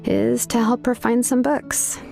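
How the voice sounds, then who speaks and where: An actress playing a young woman smith in a game, easygoing and teasing, with soft game music behind her. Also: fairly high pitch, measured pace, creaky. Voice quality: relaxed, casual, teasing. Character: young woman blacksmith, easygoing and laid-back. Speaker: name engraver of the tribe. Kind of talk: game dialogue.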